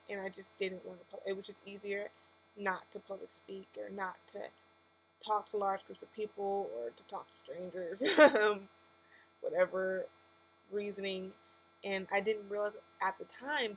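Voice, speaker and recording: A woman's voice: mid-range voice, poor audio. A woman with a lisp gives a casual, candid personal testimony to camera, speaking emphatically and correcting herself as she goes. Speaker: vlogger with a faith-based message. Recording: steady mains hum and background noise.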